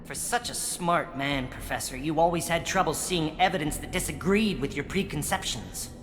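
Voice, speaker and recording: A man's voice: on the high side, normal speed, fairly bright tone, natural voice. A man voicing a bitter, defiant alien scientist in a video game, articulate and pointedly accusatory. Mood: scornful. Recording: reverberant hall, faint music behind. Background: faint music.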